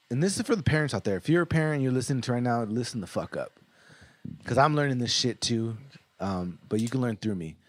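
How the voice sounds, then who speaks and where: Blunt, swearing man in his thirties. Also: medium pitch. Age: 30s. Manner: blunt, swearing, earnest storytelling. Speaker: podcast co-host. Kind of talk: casual podcast chat.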